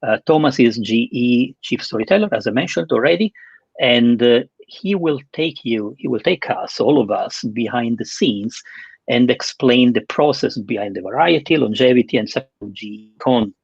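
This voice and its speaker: A man's voice: mid-range voice, lively intonation, moderate pace, creaky, husky, no echo, highs cut off. A man hosting an online interview webinar, introducing and questioning his guest.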